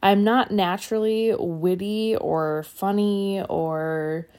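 A woman speaking calmly and evenly into her phone, reflective and trailing off at times. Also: mid-range voice, takes it slowly, smooth. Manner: calm, even-keeled, trails off. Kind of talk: reflective personal monologue.

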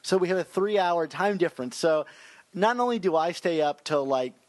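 A man's voice: a little high, expressive, moderate pace, dry recording. A man hosting a podcast in casual, easygoing chat. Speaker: podcast host.

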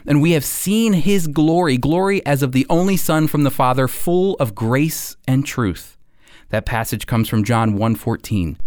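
A man giving a scripted sermon as a preacher, phrasing deliberately and emphatically and putting questions to his listeners. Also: mid-range voice, a little mellow, no echo, clean studio sound.

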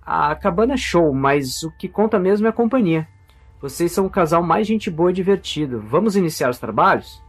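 Husky, easygoing young Brazilian boyfriend. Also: male voice, fairly high pitch, lively intonation, fairly quick. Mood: upbeat. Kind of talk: audio drama acting.

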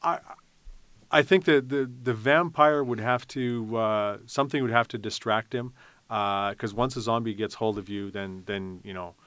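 A man with a fairly low voice chats as a guest on a podcast. He answers casually and haltingly, working out his thoughts as he goes, in a dry, close recording. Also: fairly even intonation, fairly bright tone, smooth, dry recording. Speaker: podcast guest, festival co-chair. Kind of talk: casual chat.

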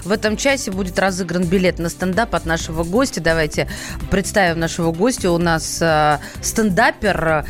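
A woman's voice: fairly low pitch, moderate pace, soft background music. A middle-aged woman co-hosting a radio talk show in a studio, teasing and chatting with her guest. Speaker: radio co-host.

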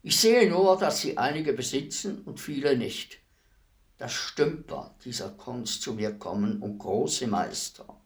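An actor playing a weary old executioner in a radio play, speaking in a deliberate, ruminative manner with heavy pauses. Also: male voice, mid-range voice, animated. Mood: humble, pensive. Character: weary old male executioner, fifty years imprisoned, brooding, humble.

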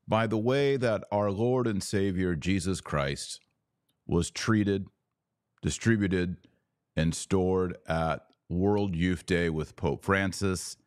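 Deep-voiced man, slow emphatic commentary. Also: a little mellow, dry recording, studio quality. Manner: emphatic, deliberate phrasing with pauses and stressed key words. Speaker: podcast host, religious commentator. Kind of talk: opinionated commentary monologue.

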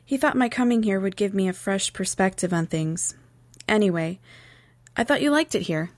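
A woman's voice: mid-range voice, moderate pace, no echo, clean studio sound, close to an everyday voice. A woman voicing a guarded, troubled young telepath in an audiobook, speaking tersely and earnestly. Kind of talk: audiobook character dialogue.

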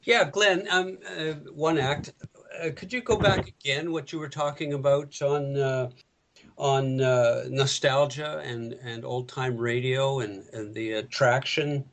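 Halting man moderating a casual chat. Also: mid-range voice, fairly even intonation. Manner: halting questions. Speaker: discussion moderator.

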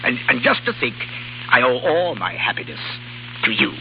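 An actor playing a doting, tipsy older baron who stammers, repeats himself and rambles in 1930s radio drama style. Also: male voice, mid-range voice, sing-song, normal speed. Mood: tipsy, jovial. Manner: stammering, repetitive, chuckling. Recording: dull, hissy 1930s radio recording.

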